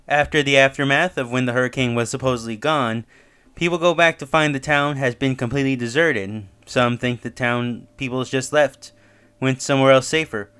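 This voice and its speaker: A man's voice: mid-range voice, fairly bright tone, smooth. A man hosting his own channel, casually recapping and reviewing a horror web series, talking loosely with fillers and self-corrections.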